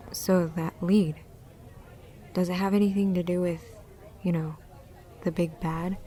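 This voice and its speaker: A woman's voice: on the low side, level tone, measured pace, dark tone, a little hiss or hum, close to an everyday voice. A woman voicing an unsure, modest young student trainee in a fan-made anime audio drama, polite and hesitant.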